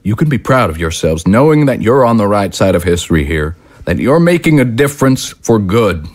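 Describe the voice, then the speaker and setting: An actor playing a fiery, short-tempered political broadcaster and movement leader in an audio drama, speaking emphatically. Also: male voice, on the low side, sing-song, a little fast. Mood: rousing, proud. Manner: combative, emphatic, oratorical. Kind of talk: dramatic audio screenplay acting.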